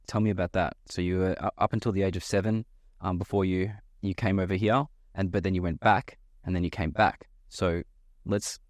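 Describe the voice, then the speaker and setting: A male podcast host with a lowish voice guides the interview in a friendly manner. He stumbles and repeats words as he shapes his questions. The sound is close and dry. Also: steady tone, fairly quick, dry recording.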